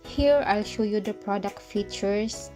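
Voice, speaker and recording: A woman presenting a product demonstration for an online video in halting, non-native English phrasing. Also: mid-range voice. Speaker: product reviewer and parent presenting to camera. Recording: music playing behind the voice.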